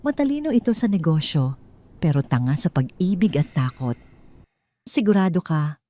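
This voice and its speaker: Female storyteller, low and mellow, for dramatic scripted narration of audio drama. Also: very animated, a little fast.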